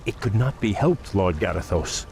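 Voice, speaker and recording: An actor playing a proud elven warrior prince in a fantasy game, formal and noble with restrained resentment. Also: male voice, deep voice, expressive, moderate pace, vocal fry, a little mellow, slight background noise. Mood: deferential. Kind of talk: scripted fantasy game cutscene acting. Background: music.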